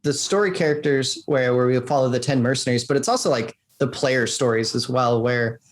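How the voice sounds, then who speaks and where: A man's voice: medium pitch, a little fast. A man with a mid-range voice talks casually as a game developer being interviewed. He sounds enthusiastic and lets small chuckles into his conversational delivery.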